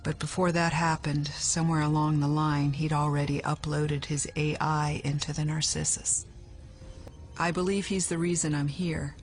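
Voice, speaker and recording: A low-pitched grim, resolute woman who has survived in space, in a sci-fi audio drama. Music runs underneath her voice. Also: flat delivery, normal speed. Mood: grim, determined.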